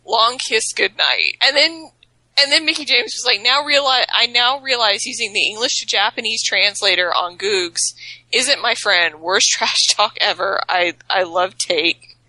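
Bright chatty woman reading tweets out, kinda high voice, dry mic. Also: a little slow, dry recording, low-quality recording. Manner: animated, reads quotes with playful emphasis. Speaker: podcast co-host. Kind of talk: casual chat reading tweets aloud.

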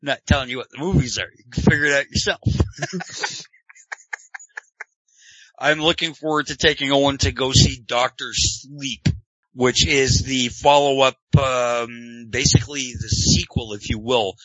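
A man's voice: medium pitch, steady tone, a little slow, pushed and bright, slightly rough, dry recording. Sarcastic Canadian man hosting. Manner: sarcastic, joking. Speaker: podcast host. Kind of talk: casual chat. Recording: dull lo-fi dry sound.

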